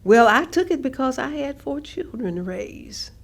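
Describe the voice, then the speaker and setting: A woman performing a staged monologue as a proud, defiant working mother, drawing out her words for deliberate emphasis. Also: high voice, very animated, vocal fry, exaggerated voice. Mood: indignant, weary. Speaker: stage actress performing oral history. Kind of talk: dramatic monologue, staged reading.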